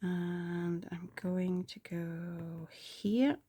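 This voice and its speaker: A woman's voice: lowish voice, fairly even intonation, very slow, mellow, breathy edge, no room sound, studio quality. A woman chatting casually while planning, congested and with a sore throat, rambling and sometimes murmuring to herself. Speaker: planner vlogger.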